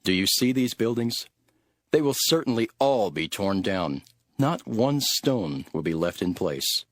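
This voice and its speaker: A man playing a solemn, earnest prophetic teacher in a dramatized audio Bible, speaking in a measured, dramatic way. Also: fairly low pitch, lively intonation, no room sound, clean studio sound. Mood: grave warning. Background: music.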